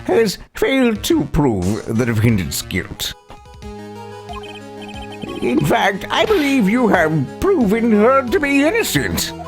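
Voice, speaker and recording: A man voicing an elderly courtroom judge from a video game, stern yet easily flustered, with halting, ponderous delivery. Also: high-pitched, wildly swooping pitch, voice pushed up, heavily acted. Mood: solemn. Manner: halting, stammering, ponderous pronouncements. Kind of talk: dramatic reading of a video game. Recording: game music behind the voice.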